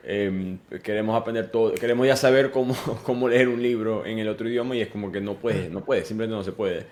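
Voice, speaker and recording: A man's voice: on the low side, a little fast, a little mellow. A man hosting a podcast interview who talks reflectively and poses questions to his guest. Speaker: podcast host.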